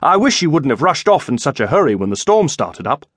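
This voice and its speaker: A man's voice: a little high, sing-song, a little fast, breathy edge, dry recording, clean studio sound. A man voicing an eager, chatty young squire who rambles anxiously and deferentially to his lord in an audiobook.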